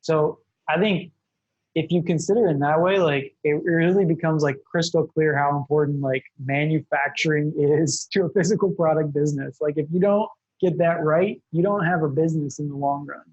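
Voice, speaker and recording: Higher-voiced man on a dull, dry line. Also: a little mellow, breathy edge, no room sound. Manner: thoughtful, measured, hedging with fillers. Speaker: guest, product entrepreneur. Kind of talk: casual interview talk.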